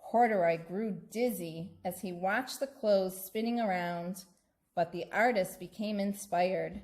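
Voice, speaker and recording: A woman narrating a children's bedtime story in an expressive, deliberate manner. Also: mid-range voice. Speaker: school staff member reading aloud.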